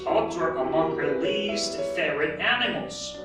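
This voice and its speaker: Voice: mid-range voice, animated, unhurried. A narrator reading a scripted fairy tale for readers theatre. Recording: very echoey room, distant, music behind.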